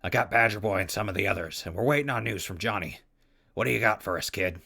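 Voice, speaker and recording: A man acting as a gruff, loyal wasteland veteran with a folksy, punchy manner in a post-apocalyptic audio drama. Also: lowish voice, fast talker, sharp tone, exaggerated voice. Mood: businesslike.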